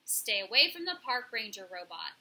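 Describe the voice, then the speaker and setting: A woman's voice: mid-range voice, big pitch swings, normal speed, sharp tone. A woman voicing a bold, streetwise female pigeon who leads her flock, talking in an emphatic, colloquial, bossy way in a children's storybook.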